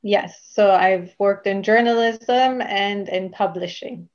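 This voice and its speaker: A woman's voice: mid-range voice, slow pace. A woman from Luxembourg, a magazine founder, answering interview questions in a matter-of-fact way over a video call. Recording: slightly dull sound.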